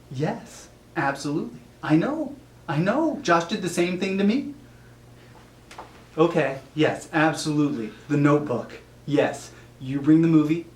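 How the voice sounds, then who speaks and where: A man's voice: on the high side, a little mellow, reverberant, natural voice. A man playing a fussy, melodramatic friend who gushes repetitive reassurance in a comic improvised skit. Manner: gushing, repetitive, cooing reassurance. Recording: noisy humming home recording.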